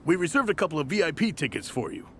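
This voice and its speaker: A man's voice: fairly high pitch, big pitch swings, rapid-fire, fairly bright tone, a little hiss or hum. A man voicing a polite, earnest knight officer in a video game, formal and upbeat. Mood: courteous, upbeat.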